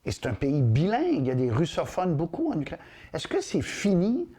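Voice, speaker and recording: Male news interviewer, relaxed mellow voice, probing. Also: fairly high pitch, brisk, breathy edge. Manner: probing, rephrasing questions mid-sentence. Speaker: interviewer, host.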